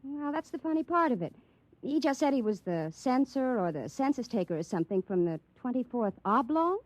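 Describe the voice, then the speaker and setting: An actress playing a sweet, flustered young secretary in a 1950s radio drama, breathless and earnest. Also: female voice, fairly high pitch, very animated, fairly quick, noisy background. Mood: worried.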